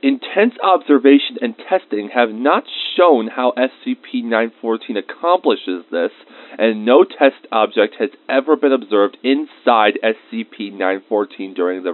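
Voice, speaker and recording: A man's voice: lowish voice, close to an everyday voice. A man playing an easily irritated researcher, dictating lab log entries as scripted narration in an audio drama. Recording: muffled, band-limited close dry recording.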